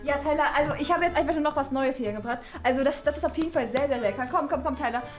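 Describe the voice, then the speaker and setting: A young woman playing a gloomy, awkward teenage goth schoolgirl in an improvised fan film, speaking haltingly and repeating herself. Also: high-pitched, close to an everyday voice. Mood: eager, insistent. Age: young adult. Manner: halting, repetitive, hesitant. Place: furnished room at home. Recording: music under the voice.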